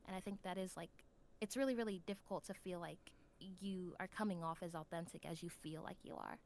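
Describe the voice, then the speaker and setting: A woman's voice: on the low side, brisk, darkish tone, dry recording. A woman chatting casually as an interview guest, earnest and reflective in how she talks. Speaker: podcast guest, new voice actor.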